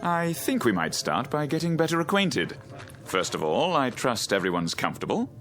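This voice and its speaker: An actor in a radio drama playing a steady ship's captain, polite, measured and formal. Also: male voice, mid-range voice, soft background music. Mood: courteous. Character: courteous, steady ship's captain, a man in authority.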